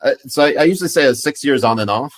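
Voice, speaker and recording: A man's voice: mid-range voice, expressive, fast. A man in his thirties talking casually as a podcast guest, rambling with many fillers. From United States, partly raised in Pakistan. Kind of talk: casual chat.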